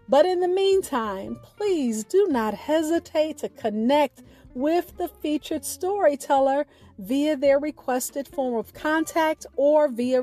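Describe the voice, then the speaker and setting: Slow, higher-voiced woman hosting over light music. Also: warm tone. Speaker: podcast host. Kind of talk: scripted radio show sign-off with spoken poetry.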